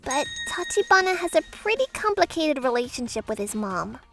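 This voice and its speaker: A woman voicing an anxious young newlywed wife in a manga dub, expressive and easily flustered. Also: high voice, moderate pace, soft background music. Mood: narrating, mildly troubled. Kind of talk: manga dub first-person narration and dialogue.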